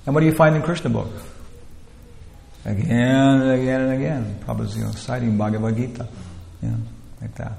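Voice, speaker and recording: Male lecturer, casual rambling delivery, gravelly voice, echoey hall. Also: medium pitch, fairly quick, dark tone. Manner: rambling, many "you know" fillers and restarts. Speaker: teacher leading a scripture class. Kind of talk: informal spiritual lecture. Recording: roomy hall with long echo, faint ambience.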